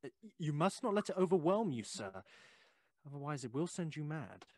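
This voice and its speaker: An actor playing a young, clear-minded gentleman visitor in a Victorian ghost story, formal and reassuring, urging calm. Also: a little high, brisk, no room sound, studio quality. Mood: alarmed, firm.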